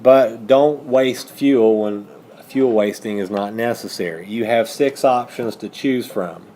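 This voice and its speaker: Male teacher, unhurried and mellow, walking students through problems with self-answered questions, some background noise. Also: medium pitch. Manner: asks questions and answers them himself. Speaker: high school math teacher. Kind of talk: step-by-step math lesson with questions and answers.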